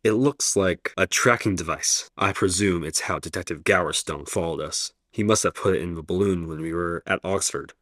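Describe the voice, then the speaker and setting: An older male professor, polite, measured, low voice, dry. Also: fairly bright tone, no echo. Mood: calm. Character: kindly older male professor, gentle and formal. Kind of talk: radio drama acting.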